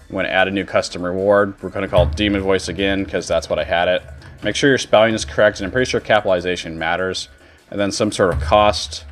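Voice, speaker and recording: Male streamer, lowish voice, casual walk-through delivery over light music. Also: a bit bright. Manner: informal step-by-step explaining. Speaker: streamer giving a tutorial. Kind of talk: casual software tutorial. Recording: music behind the voice. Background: background music.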